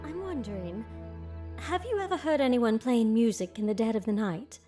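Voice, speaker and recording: An actress playing an uneasy young bride-to-be in an audio drama, speaking in a formal, theatrical way. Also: female voice, a little high, expressive, moderate pace, music in the background, close to an everyday voice. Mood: uneasy, wondering.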